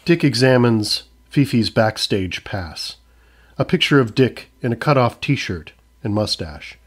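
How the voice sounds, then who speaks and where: A man's voice: medium pitch, expressive, unhurried, husky. A man reading scripted narration for a comedy audio drama. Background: music.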